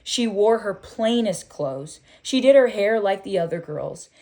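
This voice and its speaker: A woman reading a children's book aloud in an expressive, deliberate storytelling style with emphatic phrasing. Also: mid-range voice.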